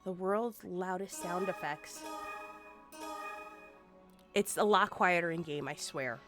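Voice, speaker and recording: A woman's voice: lowish voice, takes it slowly, over music. A woman streaming a game and chatting casually with her viewers, sounding nasal and sniffly from congestion. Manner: bubbly, playful, chatty with viewers.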